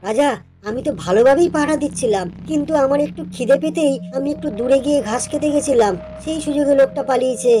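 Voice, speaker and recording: A woman voicing a clever, friendly male goat with a coaxing way of talking in an animated folk tale for children. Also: sits high, fast talker, music in the background, close to an everyday voice. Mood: pleading. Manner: coaxing, pleading.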